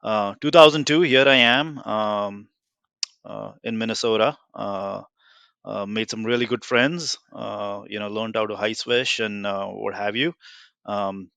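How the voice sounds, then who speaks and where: A man's voice: lowish voice, measured pace, creaky, sharp tone, clean tone, dry recording. A man in his forties from India with an Indian accent, a guest telling his story in a rambling, self-deprecating way with lots of fillers. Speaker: guest, tech company founder. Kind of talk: casual interview talk.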